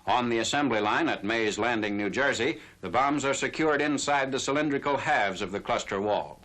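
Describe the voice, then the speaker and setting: A man's voice: medium pitch, normal speed, a bit bright. A man narrating a 1940s military newsreel in a formal, factual announcer style. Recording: noisy vintage 1940s newsreel soundtrack.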